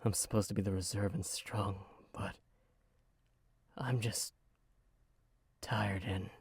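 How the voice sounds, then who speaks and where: A man playing a frail, exhausted, tender nobleman in an audio drama, speaking haltingly in a husky, breathy voice. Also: on the low side, steady tone, no echo, exaggerated voice. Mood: weary, tender. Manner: halting, slow, pleading.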